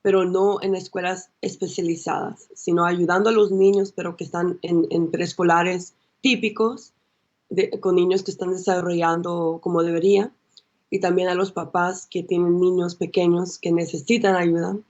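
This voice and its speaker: A young woman from the United States with a Salvadoran and Mexican-influenced Spanish accent, a consultant guest talking in a halting way with restarts over a video call. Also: lowish voice, level tone, a little mellow, lo-fi sound. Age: young adult. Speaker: guest, early childhood consultant. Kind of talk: casual interview.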